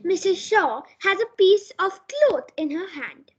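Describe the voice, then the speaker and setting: A very high, childlike-pitched voice narrates a play's scene setting. The reading is slow and lively in melody, on a slightly dull recording. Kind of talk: scripted narration of stage directions. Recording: dull, lo-fi sound.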